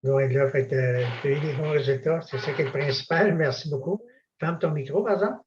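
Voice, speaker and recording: Male host, calm and reassuring, dull muffled video call audio. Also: on the high side, fast, a little hiss or hum. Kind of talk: hosting a live online event.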